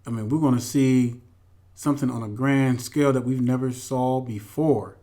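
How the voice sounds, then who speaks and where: A man's voice: medium pitch, fairly even intonation, normal speed, dark tone. A man hosting a religious teaching commentary, speaking emphatically and directly to his followers with repeated phrases. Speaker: host.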